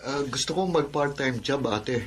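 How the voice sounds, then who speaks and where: A man's voice: mid-range voice, normal speed, a little hiss or hum, lightly acted. An actor playing an eager, respectful young male university student in a radio drama. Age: young adult.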